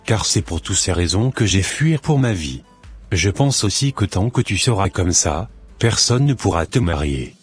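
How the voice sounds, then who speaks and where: A man's voice: sits low, lively intonation, normal speed, breathy edge, soft background music, exaggerated voice. A man playing a cautious, devout young son in scripted cartoon dialogue, speaking earnestly as if advising.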